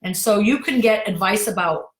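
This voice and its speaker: A middle-aged woman acting coach answering viewer questions in a direct, brisk way. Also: medium pitch, roomy sound, poor audio.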